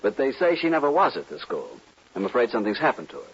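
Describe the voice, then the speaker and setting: An actor playing a stiff, demanding gentleman from the East in a 1950s radio western, formal and impatient. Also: mid-range voice, big pitch swings, fast, slightly rough, full character voice. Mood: urgent, haughty. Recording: muffled vintage radio recording.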